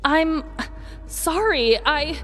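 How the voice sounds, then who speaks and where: A woman doing a high, slow, dreamy smitten woman. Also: lively intonation, fairly bright tone, faint music behind, heavily acted. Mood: awestruck, dreamy. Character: dreamy, art-smitten middle-aged woman in a comic story.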